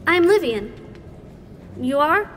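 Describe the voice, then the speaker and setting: A woman's voice: sits high, very animated, unhurried, a bit bright, a little hiss or hum, heavily acted. A woman playing an eager, well-mannered young noble daughter in a fantasy audio drama. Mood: bright, eager.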